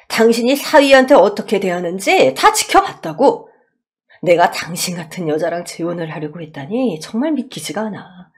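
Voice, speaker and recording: A woman voicing an indignant, wealthy elderly gentleman in a radio story, scolding bluntly and commandingly. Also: a little high.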